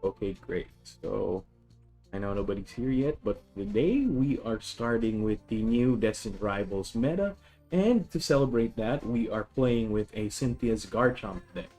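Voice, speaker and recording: A man's voice: lowish voice, animated, unhurried, dark tone, faint music behind. A man with a Filipino accent chatting casually on a live stream, explaining a card deck, often correcting himself and greeting viewers. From Philippines.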